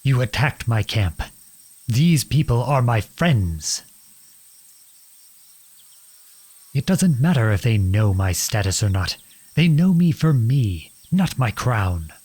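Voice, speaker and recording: A man playing a noble runaway outlaw prince in a dramatic audio roleplay, earnest and imploring, with a husky voice. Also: mid-range voice, very animated, measured pace, darkish tone, no echo. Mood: angry, hurt. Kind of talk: dramatic ASMR roleplay acting.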